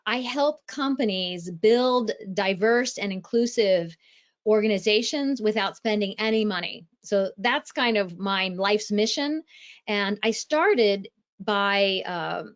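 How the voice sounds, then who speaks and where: A woman from Ohio speaks as an author on a podcast, telling her story in a steady, measured way. Her voice sits in a middle range. The audio is a little dull. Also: medium pitch. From Ohio, USA. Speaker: author and guest. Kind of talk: podcast interview.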